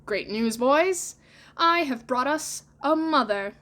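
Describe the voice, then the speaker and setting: A woman voicing a cocky, boastful boy leader in a storybook reading, loud and commanding. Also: high voice, lively intonation, takes it slowly, voice pushed up, heavily acted.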